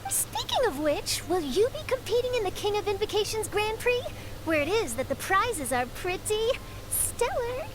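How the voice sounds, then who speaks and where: A woman's voice: extremely high pitch, very animated, moderate pace, poor audio. A woman playing a perky, polite and inquisitive young newspaper reporter in an anime-style video game, speaking eagerly and articulately. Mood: inquisitive, playful.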